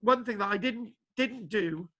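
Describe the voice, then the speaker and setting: A man lecturer from Wales talking casually to his online class, halting with many restarts and fillers. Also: high voice, pushed and bright. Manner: halting, full of restarts and ums. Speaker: archaeology lecturer. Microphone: video call. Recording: spotty video call connection.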